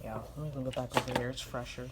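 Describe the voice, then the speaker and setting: A woman's voice: rumbling low, fairly even intonation, very fast. A woman chatting casually off the cuff, muttering wry asides as she handles a radio. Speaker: vlogger demonstrating a radio. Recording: very noisy, radio playing nearby.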